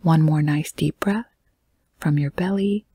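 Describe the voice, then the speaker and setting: Breathy, whispery low-voiced woman guiding meditation. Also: dry recording, studio quality. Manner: calm, gentle, measured phrases with long pauses. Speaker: guided meditation leader. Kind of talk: guided meditation, slow soothing narration.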